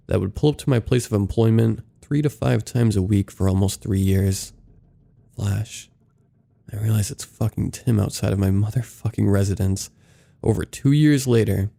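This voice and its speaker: A man narrating submitted true scary stories in a steady, low-key reading. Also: vocal fry. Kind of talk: scripted horror story narration.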